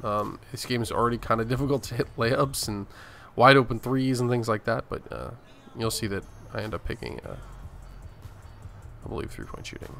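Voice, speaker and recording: A man's voice: medium pitch, animated, normal speed, creaky, a bit bright. An American man from Arizona hosting a gaming video with casual gameplay commentary. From Arizona, United States. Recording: voice-over with faint game music.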